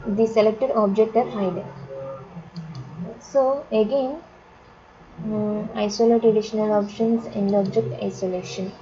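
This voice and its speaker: A woman teaching drafting software step by step, halting and often repeating and rephrasing herself. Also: mid-range voice, steady tone, slight background noise. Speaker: software instructor. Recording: dull lo-fi sound, crowd chatter behind.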